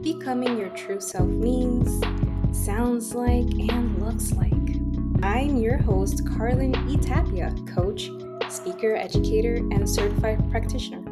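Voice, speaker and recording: A woman's voice: on the high side, a little slow. A woman hosting a podcast interview, asking earnest, encouraging questions. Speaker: podcast host and coach. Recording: loud guitar music behind voice. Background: guitar music.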